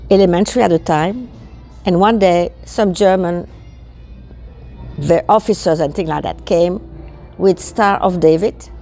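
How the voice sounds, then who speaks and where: A woman in her eighties from Belgium, with a Belgian French accent, speaking English as a second language and recalling her childhood in a halting way that repeats words. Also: fairly low pitch, dark tone. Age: 80s. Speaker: guest. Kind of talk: recounting childhood memories.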